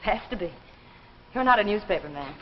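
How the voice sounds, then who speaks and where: A woman's voice: mid-range voice, animated, fairly quick, natural voice. An actress playing a sensible, skeptical, protective small-town woman in a 1950s drama, with an American accent and a firm, earnest delivery. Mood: hurt, guarded. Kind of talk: 1950s television drama acting. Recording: noisy, muffled 1950s soundtrack.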